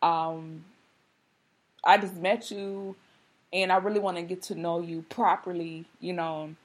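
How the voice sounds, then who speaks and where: A woman in her thirties hosting a podcast, talking candidly and casually with a slightly hoarse voice. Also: lowish voice, fairly even intonation, a little slow. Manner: candid, conversational, many fillers. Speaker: podcast host. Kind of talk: casual monologue.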